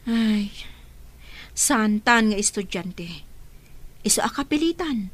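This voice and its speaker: A woman's voice: medium pitch, expressive, takes it slowly. A woman acting a practical, probing villager in an Ilocano-accented radio drama. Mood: concerned.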